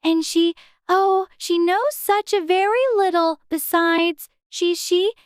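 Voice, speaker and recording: Very high-voiced anxious little girl. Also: fairly even intonation, slow, no echo, studio quality. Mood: puzzled, fretful. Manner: fretful, talking to herself in prim childish exclamations. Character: anxious, chatty little girl in a fantasy storybook. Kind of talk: dramatized audiobook character acting.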